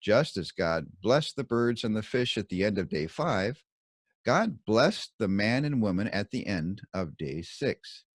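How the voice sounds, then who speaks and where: A man reading his own scripted Bible commentary as narration, in measured, deliberate short phrases. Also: lowish voice, very animated, dry recording, clean studio sound.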